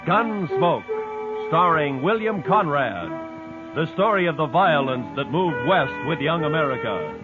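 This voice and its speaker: A man announcing an old radio western in a dramatic, deliberate manner. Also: on the high side, animated, narrow, tinny audio, over loud music.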